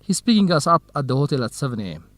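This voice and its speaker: An actor with an Ethiopian accent playing an organized, courteous, gently grumbling male researcher in an educational radio drama, speaking in a measured, explanatory way. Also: on the high side, sing-song, dry recording. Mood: helpful, practical.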